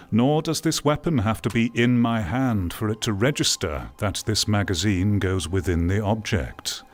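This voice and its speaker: A man giving reflective video game commentary in a measured, theatrical way with deliberate pauses. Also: fairly low pitch. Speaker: video game critic and content creator. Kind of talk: reflective scripted-sounding video essay commentary.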